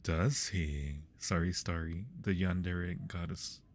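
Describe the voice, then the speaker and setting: Guy with a lowish voice chatting to viewers on a game stream. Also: steady tone, slow, a little hiss or hum. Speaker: livestream host. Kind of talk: casual livestream chat with viewers while gaming.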